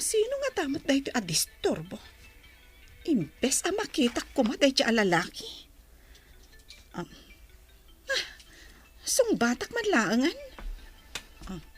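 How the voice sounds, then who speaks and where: A woman's voice: high-pitched, a bit bright, heavily acted. A Filipino woman playing a proud, curt young daughter working abroad, in a radio drama. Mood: musing, annoyed. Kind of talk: Ilocano radio drama acting.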